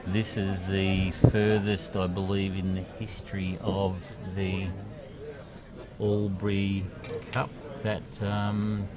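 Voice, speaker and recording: Quiet, low-voiced Australian pool commentator. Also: male voice, monotone, slow pace, music in the background. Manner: chatty, rambling, many fillers. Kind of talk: relaxed live commentary.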